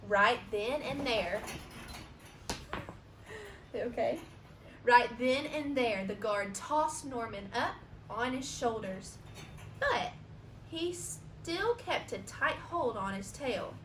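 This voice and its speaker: A woman reading a children's storybook aloud expressively, pausing for asides and questions to young listeners. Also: a little high, expressive, a bit bright. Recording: roomy echo, noticeable background hiss.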